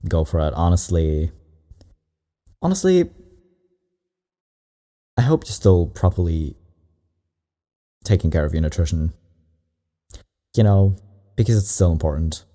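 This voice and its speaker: A man's voice: low voice, unhurried, long pauses. A man hosting a reaction video, giving casual, rambling commentary with asides. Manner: relaxed, rambling asides with laughs.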